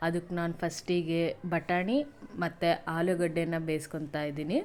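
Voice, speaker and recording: A woman from narrating a recipe step by step in a plain, instructional way, pausing between items. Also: on the low side, level tone, darkish tone. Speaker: home cook presenting a recipe. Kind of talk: step-by-step cooking tutorial narration. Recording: noisy home cooking video audio.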